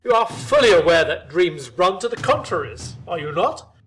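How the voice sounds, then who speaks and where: A man's voice: sits high, big pitch swings, moderate pace, a bit bright, slight background noise. A man playing a proud, suave villain of a bridegroom in a folk tale, with pompous, florid old-fashioned diction. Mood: fond, condescending. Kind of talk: theatrical storytelling of a folk tale.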